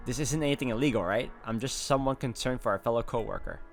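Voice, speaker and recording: A man's voice: medium pitch, fast talker, vocal fry. A man doing a let's play, reading visual novel narration aloud and adding his own off-the-cuff reactions. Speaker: video game let's player. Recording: game music behind the voice. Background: game music.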